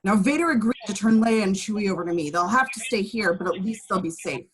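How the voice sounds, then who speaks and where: A woman's voice: mid-range voice, fast talker, close to an everyday voice. A woman playing a worried, defensive male city administrator in an amateur script read, terse and protesting.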